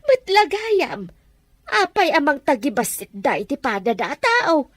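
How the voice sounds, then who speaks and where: A woman's voice: high voice, very animated, measured pace, fairly bright tone, no room sound, full character voice. A woman playing a proud provincial farm mother. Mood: indignant. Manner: earnest and indignant.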